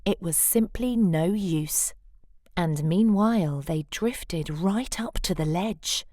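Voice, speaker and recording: A woman reading a rhyming children's picture book aloud in a dramatic, playful way with deliberate phrasing. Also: on the low side, mellow, no echo, studio quality. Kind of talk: expressive rhyming storybook read-aloud.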